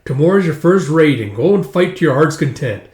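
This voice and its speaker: A man's voice: fairly high pitch, expressive, fairly quick, darkish tone, close to an everyday voice. A man voicing hearty, boastful Viking villagers who are proud of battle, in a jovial, bragging manner. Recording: echoey room.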